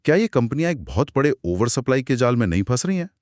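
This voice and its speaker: A man's voice: medium pitch, big pitch swings, quick pace, darkish tone, no room sound, clean studio sound. A man with an Indian accent co-hosting a conversational podcast discussion, inquisitive and emphatic as he questions and sums up. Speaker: co-host asking questions.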